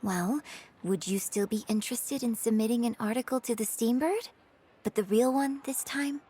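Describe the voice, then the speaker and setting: A woman playing a poised, polite and quietly cunning young opera performer in a video game. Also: on the high side, normal speed, slightly rough. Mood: gracious. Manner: composed, courteous, feigned innocence. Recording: background music under dialogue.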